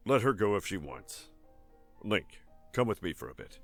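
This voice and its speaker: A man's voice: on the low side, big pitch swings, slow pace, soft background music, heavily acted. A man playing an easygoing older village father in an audiodrama. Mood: calm, sighing. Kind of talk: audiodrama acting.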